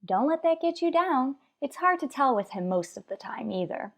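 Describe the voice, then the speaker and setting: An actress plays a sardonic woman schoolteacher in a radio sitcom. Her pitch swings with lively expression as she lands dry, sarcastic wisecracks in an old-time comic style. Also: normal speed, gravelly, exaggerated voice. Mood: dry teasing. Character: wry, sardonic woman high school English teacher.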